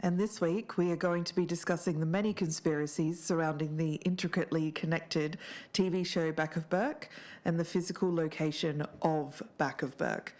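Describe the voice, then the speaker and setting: A woman's voice: sits low, steady tone, normal speed, a little mellow. A woman co-hosting a podcast, mixing scripted narration with casual asides. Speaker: podcast co-host.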